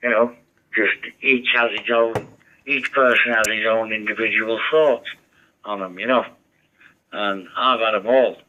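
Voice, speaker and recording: A man's voice: fairly low pitch, fairly even intonation, a little slow, pushed and bright, slight background noise. A man chatting over a ham radio, rambling and giving advice. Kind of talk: casual radio chat. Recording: dull muffled radio audio.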